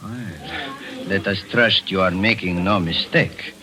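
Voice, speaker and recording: An actor playing a smooth, overly polite foreign buyer in a 1940s radio drama, speaking formally and hesitantly. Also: male voice, deep voice, takes it slowly, bright, forceful tone, soft background music, exaggerated voice. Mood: oily, courteous. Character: smooth, ingratiating foreign buyer, middle-aged man.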